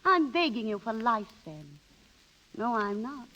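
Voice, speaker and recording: An actress playing a vain, restless middle-aged American wife, coy and demanding, in 1930s radio drama style on an old broadcast. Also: female voice, fairly high pitch, sing-song, unhurried, pushed and bright, muffled recording, exaggerated voice. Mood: pleading, demanding.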